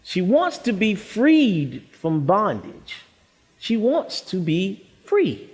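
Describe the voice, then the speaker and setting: A performer narrating a stage play in deliberate, poetic spoken word over music. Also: medium pitch, sing-song, a little mellow, slightly rough, full character voice. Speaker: narrator and singer. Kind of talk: slow spoken-word stage narration between sung lines.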